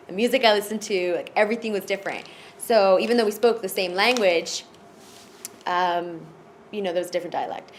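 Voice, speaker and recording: Brief: woman from Florida, mid pitch, casual storyteller, hesitant, faint background hiss. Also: a bit bright. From United States (Florida). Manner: hesitant, many fillers and restarts. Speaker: podcast guest at a retreat.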